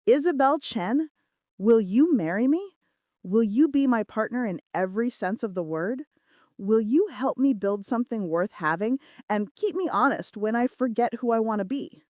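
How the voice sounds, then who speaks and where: A woman's voice: fairly high pitch, animated, normal speed, darkish tone, no room sound, clean studio sound, lightly acted. A woman voicing an earnest, devoted male mafia boss in love in a romance audiobook. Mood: loving, solemn.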